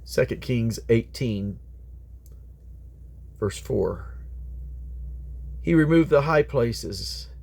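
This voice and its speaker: A man's voice: mid-range voice, creaky, darkish tone. A man reading Bible scripture aloud in a solemn, declamatory manner, letting his phrases fall away at the end. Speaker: Bible reader.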